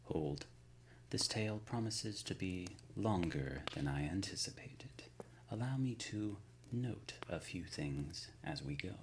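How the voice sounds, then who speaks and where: A man acts out an ancient, shrewd lord of the supernatural in an intimate ASMR roleplay. The voice is very deep and slow, yet swings expressively in pitch. The phrasing is formal and measured, with courteous authority and a soft, often near-whispered delivery. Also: lively intonation, background noise. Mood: stern, measured. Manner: formal, measured, erudite wording with deliberate pauses.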